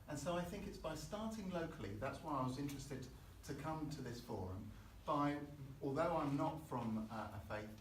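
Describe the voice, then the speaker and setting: A thoughtful man originally from Chile speaks on a panel, hesitant and often restarting mid-thought. His voice sits at a middling pitch and is caught from a distance in a reverberant hall. Faint room noise hangs behind him. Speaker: election candidate. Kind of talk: unscripted panel speech. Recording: echoey hall, mic far off.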